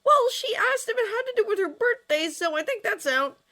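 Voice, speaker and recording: A woman voicing a nervous, flustered teenage boy with a squeaky, stammering delivery in a story reading. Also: expressive, moderate pace, pushed and bright, full character voice. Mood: anxious. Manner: stammering, spluttering.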